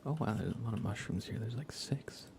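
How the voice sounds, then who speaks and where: A man speaking softly and unhurriedly, often half-whispering, as he rambles through a live ASMR gaming stream. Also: lowish voice, lively intonation, brisk, creaky. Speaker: livestream host. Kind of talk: soft-spoken ASMR game livestream chat.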